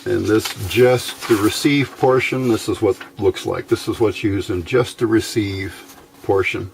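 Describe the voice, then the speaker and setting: A man giving a casual, unscripted technical explanation as a hobbyist presenter, haltingly, thinking aloud as he goes. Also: lowish voice, creaky, a little hiss or hum. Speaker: amateur radio hobbyist presenting a how-to video.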